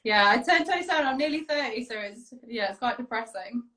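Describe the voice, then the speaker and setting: A woman in her late twenties from Wales chats as a guest over a video call. Her voice sits slightly high, and she is giggly and apologetic, with a habit of swearing. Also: animated, brisk, a bit bright, roomy sound. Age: 20s.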